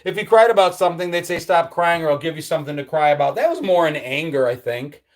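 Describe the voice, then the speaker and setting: A man's voice: fairly high pitch, lively intonation, fast talker. A middle-aged man from upstate New York hosting a casual live stream, reading viewer comments and reminiscing about his childhood in an easygoing, nostalgic way. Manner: reads viewer comments aloud and answers them; nostalgic, easygoing, with frequent ums and trailing drops. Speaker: livestream host.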